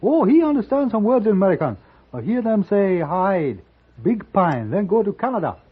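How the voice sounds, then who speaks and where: A tinny 1950s radio recording carries an earnest Chinese servant. His voice is high and breathy, with lively swings, a Chinese accent and polite broken English. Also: moderate pace, exaggerated voice. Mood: polite, eager. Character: earnest, deferential Chinese hotel servant man, pleading for uncle. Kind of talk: 1950s radio drama acting.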